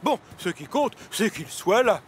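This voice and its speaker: A man's voice: high-pitched, big pitch swings, measured pace, crowd noise. A man voicing a pompous, flustered railway boss in a children's cartoon dub, blustering and sputtering. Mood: stern, flustered.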